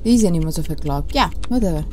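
A woman's voice: fairly low pitch, animated, fast talker, warm tone, a little hiss or hum. A woman giving casual playthrough commentary on a horror game, reacting aloud and talking to herself and the game. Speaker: gaming video host.

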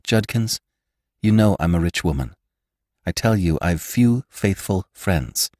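A man's voice: low voice, animated, unhurried, a little mellow, no room sound, studio quality, voice pushed up. A man reading the lines of a troubled, wealthy rancher woman in an earnest, pleading way.

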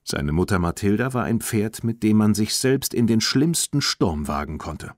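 A man narrating a children's audiobook in an expressive, dramatic storytelling manner. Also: lowish voice, moderate pace, dry recording, clean studio sound.

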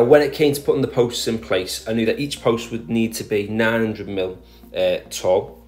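A man with a low voice talks methodically through a do-it-yourself project in a recorded voice-over. Faint music plays quietly underneath him. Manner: plain, methodical explaining with small restarts. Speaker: garden DIY vlogger. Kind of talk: voice-over narration of a DIY project.

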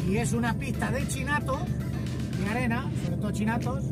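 A man's voice: very high voice, fairly quick, far from the mic, over loud music. A man in his forties from Spain, a truck driver vlogging casually from his cab, chatty and folksy with the odd curse. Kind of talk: casual driving vlog chat. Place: truck cab on a highway, windy. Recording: loud road and engine noise.

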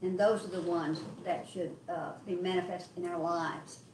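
A woman in a home Bible study group sharing her thoughts earnestly, pausing often to find words, recorded by a camera in a living room. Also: fairly low pitch, fairly even intonation, background noise. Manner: earnest, halting with fillers. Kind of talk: casual group discussion. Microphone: far camera in the room. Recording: distant voice in echoey room.

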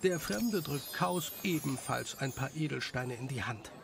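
Gravelly male storyteller over noisy ambience. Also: medium pitch, expressive, a little slow. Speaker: narrator. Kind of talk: scripted audiobook narration of a children's story. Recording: clearly noisy background ambience.

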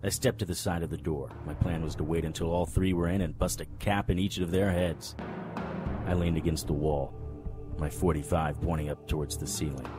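A man's voice: very deep voice, fairly even intonation, fairly quick, over music. An actor playing a hard-boiled, wisecracking private detective, narrating a noir radio drama in a wry, tough-guy manner. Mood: wry narration.

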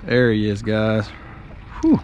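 A man, talking casually to viewers while fishing from a small boat outdoors, hollering with excitement when fish bite. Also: on the low side, takes it slowly, lo-fi sound, slight background noise. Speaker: fishing vlogger. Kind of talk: casual fishing vlog talk.

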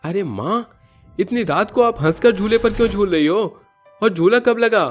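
A man voicing a hurried, polite office-going son and husband in a cartoon, speaking urgently. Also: high voice, animated, faint music behind, pitched above the performer's own voice. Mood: puzzled.

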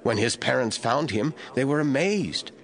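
A man giving measured, scripted Bible narration in a storytelling manner. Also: mid-range voice, lively intonation.

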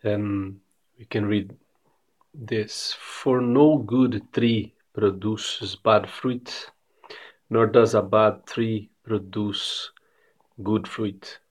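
A Brazilian man in his thirties speaks English slowly and carefully, with a Brazilian Portuguese accent and frequent restarts as he searches for words. His voice is husky and a little low. He talks straight into a phone held at arm's length. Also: steady tone. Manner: slow, careful non-native English with frequent repeated words. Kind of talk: casual devotional message to camera. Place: bright room indoors. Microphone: phone camera at arm's length.

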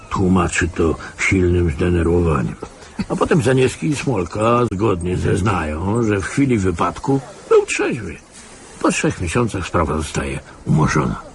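A man's voice: sits low, vocal fry, a little hiss or hum, heavily acted. A man playing a world-weary, cynical old retired security officer in a radio play, sardonic and patronising. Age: older. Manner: sardonic, patronising, chuckling asides. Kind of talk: Polish radio play acting.

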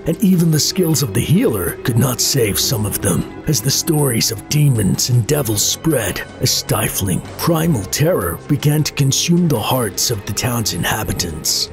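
A man delivers dramatic scripted narration like an ominous storyteller. His mid-pitched voice has a gravelly creak, and faint music plays behind him. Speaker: video narrator.

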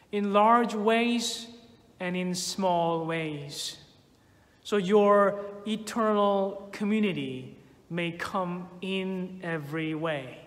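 A minister reading prayers in a slow, measured liturgical manner in a church sanctuary. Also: male voice, sits high, a bit bright, in a large hall. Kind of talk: leading prayers in a church service.

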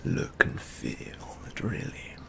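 A young man performing a soft-spoken ASMR roleplay, breathy and mostly whispered, with slow, mannered, genteel and theatrical phrasing. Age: young adult. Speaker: ASMR roleplay performer playing a model painter. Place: indoor studio with plain backdrop.

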